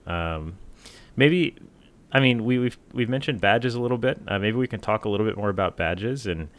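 A man co-hosting a casual tech podcast, talking in a relaxed way with many fillers and restarts. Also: fairly low pitch, moderate pace. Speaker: podcast co-host, software team member.

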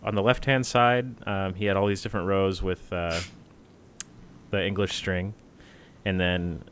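Very deep-voiced man chatting casually. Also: a little slow, slight background noise. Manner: relaxed, many uh fillers and restarts. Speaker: podcast co-host, software team member. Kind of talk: casual tech podcast chat.

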